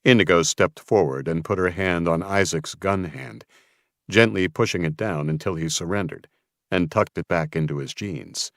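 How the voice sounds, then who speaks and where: A man's voice: low voice, moderate pace, a bit bright, no room sound, studio quality. A man reading scripted dramatic fiction as an audiobook narrator.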